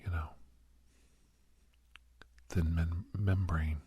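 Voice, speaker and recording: A man playing a gentle, reassuring doctor in a soft-spoken ASMR roleplay, with a husky, breathy voice and halting, hesitant delivery. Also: low voice, level tone, dry recording, exaggerated voice. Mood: curious, playful. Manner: soothing, hesitant, halting pauses. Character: gentle, reassuring male doctor counseling a nervous young werewolf.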